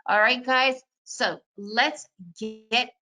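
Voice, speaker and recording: A woman raised in the United States with Russian as her first language, giving a chatty, energetic webinar talk on trading. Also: a little high, extremely slow. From Soviet Union, raised in the United States. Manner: energetic, chatty, addresses audience as guys. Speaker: trading educator presenting a webinar. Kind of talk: casual webinar presentation.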